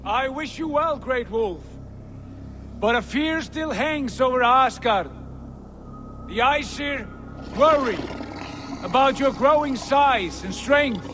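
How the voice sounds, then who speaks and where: Gentle warrior god, earnest, formal, deliberate pace, game drama, faint ambient noise. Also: male voice, very high-pitched, lively intonation, full character voice. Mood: earnest, pleading. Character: gentle, honorable Norse god, protective and sorrowful warrior. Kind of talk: video game cutscene acting.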